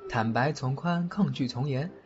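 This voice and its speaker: A man's voice: mid-range voice, moderate pace, a little mellow, lightly acted. A man voicing a teasing godfather who knows minor magic, in an audio drama. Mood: teasing, stern. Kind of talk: Chinese audio drama acting. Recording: dull lo-fi fan drama audio.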